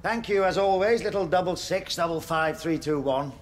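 An actor playing a zealous, pompous prison chaplain in a radio drama, with a declamatory way of preaching. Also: male voice, high voice, moderate pace, slight background noise, close to an everyday voice. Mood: pious, kindly. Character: fire-and-brimstone prison chaplain, zealous, pompous, troubled by doubt.

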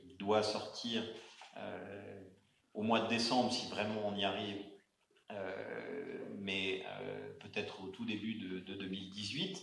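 A man moderating a round-table discussion in an auditorium, speaking haltingly with many fillers and restarts. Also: on the low side, vocal fry. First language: not Arabic. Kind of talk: hesitant spoken introduction at a panel. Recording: echoey auditorium sound.

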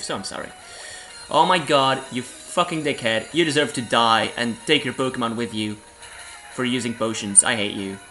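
A young man from Belgium talks through a game with a hoarse, cold-roughened voice. His tone is bright and a little tense, with faint music playing underneath. He rambles, apologizes and laughs at himself as he goes. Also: medium pitch. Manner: rambling, self-deprecating, laughs often. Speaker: let's play host. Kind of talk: casual game commentary.

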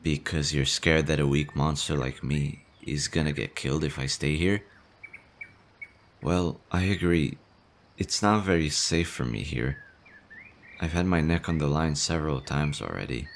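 A man voicing a timid young male slime monster in an audio roleplay, nervous and stammering, quick to worry. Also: very deep voice, slight background noise. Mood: worried. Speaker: voice actor.

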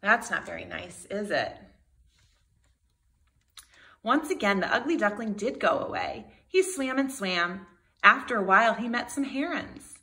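Expressive kindergarten teacher, bright woman's voice. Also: mid-range voice, a little slow, pauses often. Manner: expressive, talks to young children with questions. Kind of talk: children's storybook read-aloud.

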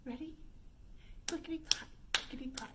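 A woman's voice: sits high, normal speed. A woman in her thirties leading an interactive children's storytime, speaking in an exaggerated sing-song way as she puts questions to young children. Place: indoor room by a stone fireplace. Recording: steady background noise.

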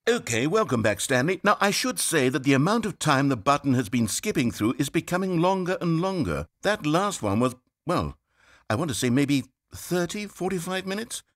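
A fussy, anxious male narrator from a video game,. He rambles and pleads, his pitch leaping up and dropping low as his worry grows. It sounds like a clean studio recording. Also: big pitch swings, moderate pace. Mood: musing. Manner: pleading, rambling, swinging from fretful to hushed. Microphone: studio mic.

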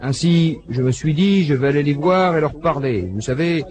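A man delivers a translated voice-over of a lecture in a slightly raised voice. He tells the stories with lively swings in pitch and plays out every quoted exchange. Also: moderate pace. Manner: animated storytelling, acting out quoted dialogue.

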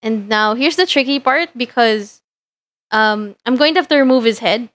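A woman's voice: on the high side, moderate pace, fairly bright tone. A young woman from the Philippines, a VTuber chatting casually with her stream audience while she works, giggling often and filling gaps with ums. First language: Tagalog. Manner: chatty, giggly, lots of fillers. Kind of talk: casual live-stream chat while working.

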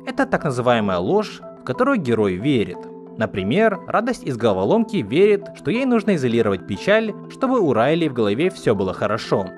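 A man narrating a scripted explanatory video essay in a measured, didactic manner. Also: medium pitch. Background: background music.

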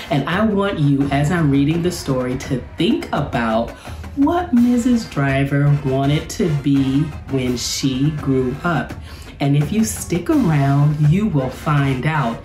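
A middle-aged woman, a teacher, reading aloud to children in an expressive, deliberate storytelling way. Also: lowish voice. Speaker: school teacher reading to pupils. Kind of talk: animated children's read-aloud. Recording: roomy, echoing indoor sound.

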